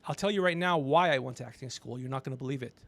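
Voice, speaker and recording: A man's voice: mid-range voice, racing, creaky, fairly bright tone. A man in his fifties hosting a casual comedy livestream, rambling, teasing and swearing as he talks. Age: 50s. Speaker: podcast host.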